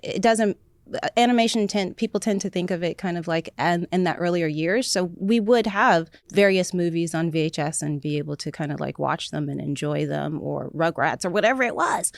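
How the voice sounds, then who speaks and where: A woman's voice: fairly low pitch, normal speed, creaky, dry recording. A woman talking as an interview guest in casual chat, enthusiastic and rambling, with many fillers.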